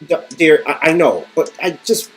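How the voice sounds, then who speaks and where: Man acting a halting, earnest, emphatic guy. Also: on the high side, expressive, close to an everyday voice, low-quality recording. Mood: baffled, incredulous. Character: flustered, incredulous man confronting a friend, earnest. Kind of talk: amateur dialogue reading of an interactive story game. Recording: music under the voice.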